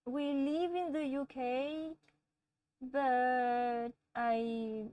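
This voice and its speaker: Slow-talking French woman hosting a livestream. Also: sits high, fairly even intonation, clean tone, no echo, far from the mic, highs cut off. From France. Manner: gentle, chatty, laughs often, talks softly to her pets. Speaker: livestream host. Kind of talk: casual live chat answering viewers.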